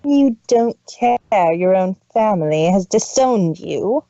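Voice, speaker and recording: A man performs a cold, haughty pony mare, the cruel older sister of a storybook tale. The voice is pitched up and swoops widely, drawing out each scornful word with slow contempt. It sounds dark and slightly muffled, in a dry recording. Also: animated, no echo, heavily acted. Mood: cold, judgmental. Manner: contemptuous, drawn-out, scolding.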